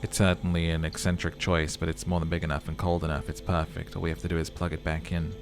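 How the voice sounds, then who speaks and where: A man voicing a calm, methodical male police lieutenant in a video game playthrough, speaking in a measured, dry way. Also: deep voice, over music.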